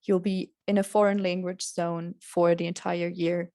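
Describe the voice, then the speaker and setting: A woman's voice: on the low side, flat delivery, normal speed, warm tone, dry recording. Woman presenter in a dry setting. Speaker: host. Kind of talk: virtual presentation. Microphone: video call.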